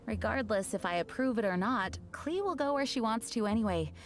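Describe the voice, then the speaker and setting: Composed, higher-voiced female knight commander played by a woman. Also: animated, close to an everyday voice. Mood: formal. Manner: formal, measured, earnest. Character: composed, dutiful young female knight commander, caring but firm. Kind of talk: anime game voice acting.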